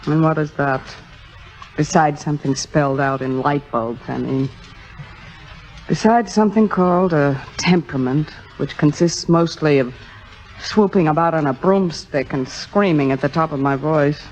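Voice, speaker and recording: An actress playing a proud, temperamental, insecure middle-aged Broadway star, speaking haltingly with theatrical self-mockery in 1950s radio drama style. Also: female voice, sits low, a little mellow, faint music behind, natural voice. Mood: rueful, self-mocking. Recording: muffled old radio recording.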